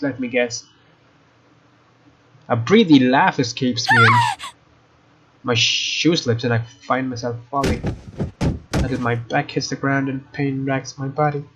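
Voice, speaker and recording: A man's voice: mid-range voice, dull audio, background noise. A man narrating a let's play, reading game text aloud and adding informal, joking asides. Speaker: let's player. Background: game music.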